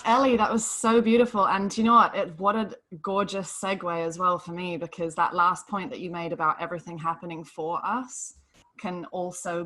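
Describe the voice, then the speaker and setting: Earnest woman coach, low gentle voice. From Hong Kong. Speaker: depression coach, panel guest. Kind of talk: live online panel talk.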